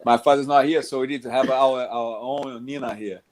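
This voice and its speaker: Man, brazilian accent, middle-aged, mid-pitched voice, casual rambling talk, frequent restarts. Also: steady tone, brisk, a little mellow. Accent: Brazilian Portuguese. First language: Portuguese. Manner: rambling, restarts often, says "man" a lot. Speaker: jiu-jitsu instructor. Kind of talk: casual talk about teaching.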